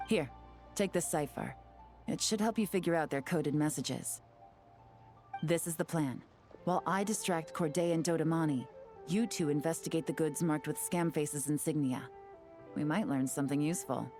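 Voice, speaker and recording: A woman's voice: low voice, a little mellow, soft background music. A woman playing a poised, shrewd investigator in a video game, speaking with composed, confident polish. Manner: composed, measured, coolly confident. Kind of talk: video game character voice acting.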